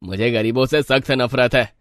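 A man voicing a haughty rich old man in a cartoon moral story, gruff and scornful. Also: mid-range voice, moderate pace, a bit bright, no room sound, clean studio sound, close to an everyday voice. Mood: scornful, angry. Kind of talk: animated Hindi moral story acting.

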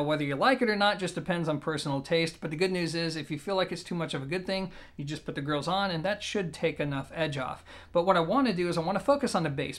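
A man in his thirties giving a casual, conversational product review to camera, explaining things in a measured way. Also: fairly high pitch, a bit bright. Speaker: audio gear reviewer. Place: small room indoors.

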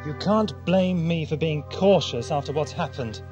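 An actor in an audio drama playing a grieving time traveller, grave, terse and deliberate. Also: medium pitch, dull audio, music in the background, natural voice. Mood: brooding, bitter.

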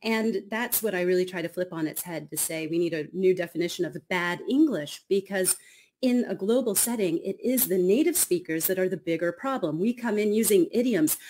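A woman, an author speaking as a guest on a radio interview over a video call, animated and quick to laugh. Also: on the low side, a little hiss or hum. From United States. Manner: animated, laughs often, acts out quotes.

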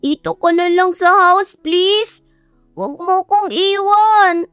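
A woman voicing a small, clingy little boy with a high, childlike whine in a radio drama. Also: takes it slowly, fairly bright tone, dry recording, studio quality, soft background music, heavily acted. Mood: pleading, tearful.

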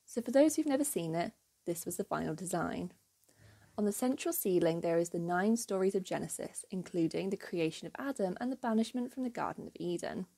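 A woman hosting a history channel, giving a clear, measured scripted narration with an enthusiastic storytelling manner. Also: on the low side, animated, dark tone.

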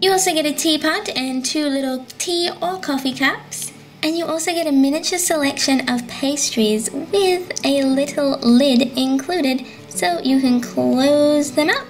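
A woman's voice: high-pitched, a little slow. A young woman hosting a toy channel, chatting cheerfully through a casual unboxing. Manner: bubbly, chatty, cutesy.

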